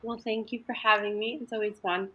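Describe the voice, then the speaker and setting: A woman in her thirties, a Greek native speaker, talking openly as a podcast guest, with halting restarts. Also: mid-range voice, level tone, breathy edge, highs cut off. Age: 30s. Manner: open, laughs easily, halting restarts. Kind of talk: casual podcast chat.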